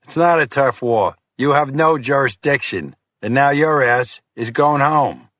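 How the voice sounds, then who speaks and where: A man's voice: mid-range voice, a little slow, a bit bright, no room sound, pitched above the performer's own voice, close to an everyday voice. A man voicing a blunt, scolding, tubby middle-aged male FBI agent with a Boston accent in an audiobook. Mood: gruff irritated. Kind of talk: audiobook character voice.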